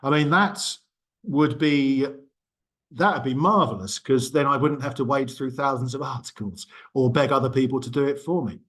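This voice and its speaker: A man's voice: mid-range voice, normal speed, fairly bright tone, breathy edge. A middle-aged man lecturing in a casual group discussion, talking loosely with frequent ums and self-corrections. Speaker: university lecturer. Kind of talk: small group discussion talk.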